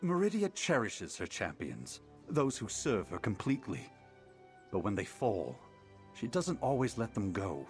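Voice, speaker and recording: An actor playing a grave, learned mage advisor in a fantasy video game, speaking in a deliberate, formal, solemn way. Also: male voice, lowish voice, wildly swooping pitch, faint music behind. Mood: pondering, solemn.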